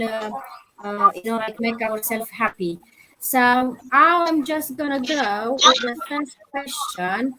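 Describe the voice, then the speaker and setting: High-voiced woman host. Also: fairly bright tone, a little hiss or hum, low-quality recording. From Romania. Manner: halting, heavy on fillers like "you know". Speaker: livestream host. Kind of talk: live online panel chat.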